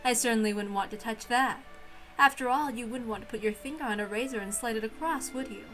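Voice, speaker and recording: A woman's voice: on the high side, fast talker, fairly bright tone, pitched below the performer's own voice, natural voice. Sly, lofty witch for a visual novel, performed by a woman, amused and mocking, music faintly behind. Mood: teasing, sly.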